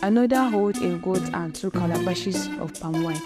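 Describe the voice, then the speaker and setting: A woman's voice: on the low side, moderate pace. Woman storyteller, dramatic folktale narration, music underneath. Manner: dramatic, vivid.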